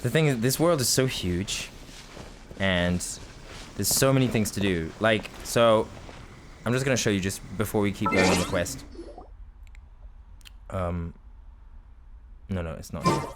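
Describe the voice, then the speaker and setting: A man's voice: lowish voice, unhurried, long pauses, background noise. A man from Britain giving casual, rambling let's-play commentary as he plays, chatty, self-mocking and often laughing. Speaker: gaming video host.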